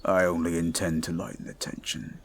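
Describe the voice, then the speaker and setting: A man voicing a towering male goliath bodyguard who is stoic, dutiful and protective, speaking in a formal, measured, commanding way in an audio roleplay. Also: sits low, level tone, slight background noise. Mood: dry, gentle.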